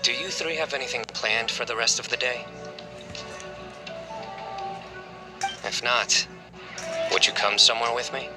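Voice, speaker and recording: An actor playing a gentle, loyal young man in a video game, speaking calmly and reflectively. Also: medium pitch, brisk, close to an everyday voice. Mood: friendly. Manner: calm, soft-spoken, reflective. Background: game music.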